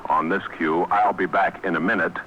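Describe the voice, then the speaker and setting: A man anchoring a live radio news bulletin, calmly stalling as the links to his reporters fail. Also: low-pitched, normal speed, lo-fi sound, slight background noise. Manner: composed, stalling through failed links.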